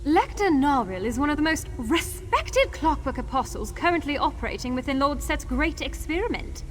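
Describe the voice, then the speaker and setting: A woman's voice: high-pitched, very animated, normal speed, a little hiss or hum. A woman playing a wry, knowledgeable guide in a fantasy game, explaining things with respect. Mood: informative, respectful. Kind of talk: scripted game dialogue.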